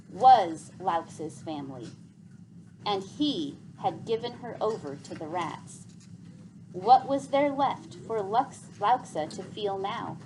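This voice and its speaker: Slow, expressive woman reading a storybook. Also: fairly high pitch, a little hiss or hum, low-quality recording. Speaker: read-aloud narrator of a children's novel. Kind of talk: expressive scripted storybook narration.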